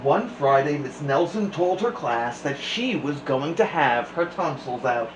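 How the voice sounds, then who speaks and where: A man hosting a children's storytime, reading aloud with deliberate, theatrical emphasis. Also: a little high, expressive, over loud music. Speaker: storytime host and reader. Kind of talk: expressive read-aloud narration for children. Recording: echoey room with faint noise.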